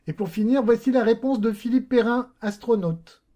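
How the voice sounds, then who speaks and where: A man's voice: very high voice, moderate pace, dark tone, highs cut off, a little hiss or hum. A man hosting a vlog, introducing his guests with informal linking remarks. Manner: friendly, informal linking narration. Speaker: host.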